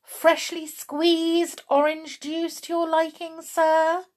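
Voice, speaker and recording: A woman's voice: medium pitch, huge pitch swings, slow pace, vocal fry, breathy edge, pitched above the performer's own voice, exaggerated voice. A woman voicing a curious, wary young girl with a pointed streak in a children's storybook. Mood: sarcastic.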